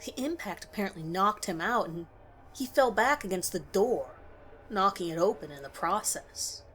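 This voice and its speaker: A woman's voice: medium pitch, expressive, moderate pace, a bit bright. Woman narrating horror over noisy ambience. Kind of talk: scripted narration of a scary story.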